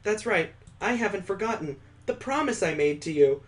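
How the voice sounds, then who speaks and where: A gentle, sentimental young heroine acted out by a man, speaking in a raised pitch. The delivery is soft, earnest and dramatic, suited to a visual novel. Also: expressive, moderate pace. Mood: tender, hopeful.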